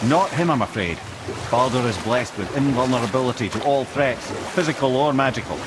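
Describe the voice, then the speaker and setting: An actor playing a wry, courtly old talking head and wise advisor in a video game. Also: male voice, mid-range voice, animated, brisk, noisy background, natural voice. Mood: affable, muddled. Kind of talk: cinematic video game acting. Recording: music behind the voice.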